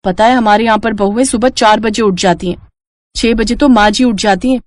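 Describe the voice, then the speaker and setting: Dutiful young housewife, voiced by a woman for cartoon tale: high voice, earnest, fussing. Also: steady tone, normal speed, a little mellow, no room sound, close to an everyday voice. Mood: mild scolding. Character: dutiful, protective elder sister-in-law, young Indian housewife. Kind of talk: Hindi cartoon story dialogue.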